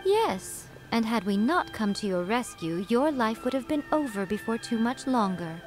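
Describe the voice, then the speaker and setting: A woman's voice: on the high side, big pitch swings, normal speed, a little mellow, faint music behind. A woman voicing a sly, wise fox-spirit shrine priestess in a video game, composed and lightly teasing as she lectures.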